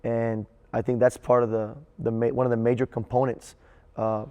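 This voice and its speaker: Even-toned man, halting, in echoey room. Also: medium pitch, highs cut off. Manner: halting, repeats words while thinking. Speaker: theater director and teacher. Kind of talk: interview reflection.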